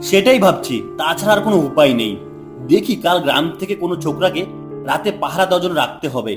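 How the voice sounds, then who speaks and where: A man's voice: on the high side, animated, quick pace, music in the background, lightly acted. A man voicing a blustering, boastful village official who owns an orchard in a cartoon, with an agitated, scolding manner. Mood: scheming. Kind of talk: cartoon voice acting.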